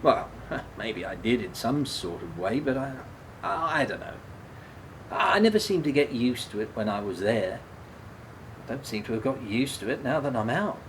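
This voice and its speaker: An actor playing a subdued, guilt-ridden man in a radio play, speaking haltingly and repeating his words. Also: mid-range voice, lively intonation, a little hiss or hum, close to an everyday voice, rough recording. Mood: morose, weary. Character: guilt-ridden, self-blaming man, an ex-prisoner, subdued and troubled. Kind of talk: radio play dialogue, naturalistic.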